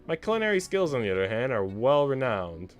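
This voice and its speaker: Man voicing an arch, flirtatious servant woman, animated. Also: mid-range voice, a little fast, soft background music, lightly acted. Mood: arch, boastful.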